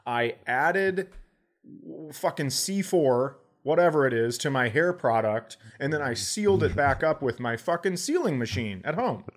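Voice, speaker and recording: A man's voice: mid-range voice, lively intonation, measured pace. A man telling stories in a casual comedic way as a podcast host, sarcastic and swearing freely.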